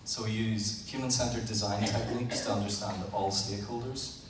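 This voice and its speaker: A man with a Northern Irish accent giving a live presentation to an audience as an engineer, speaking plainly and a bit haltingly with frequent fillers. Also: lowish voice, steady tone. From Northern Ireland. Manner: plain, a little halting, with frequent "uh" and repeated words. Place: large event hall. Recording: roomy, distant event recording with background noise.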